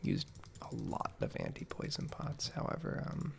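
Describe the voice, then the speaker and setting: A man giving a casual, soft-spoken ASMR ramble over gameplay, hesitant and understated as he meanders from thought to thought. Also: a little high, huge pitch swings, moderate pace, vocal fry. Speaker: gaming video creator. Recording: faint background noise, keyboard typing, clicking. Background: soft computer keyboard typing and mouse clicks.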